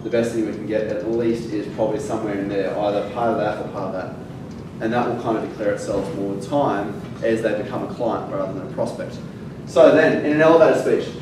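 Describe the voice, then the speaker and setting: A male fitness educator giving a classroom lecture, emphatic and punching key words, with frequent fillers. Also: medium pitch. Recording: very echoey room from far off, noisy.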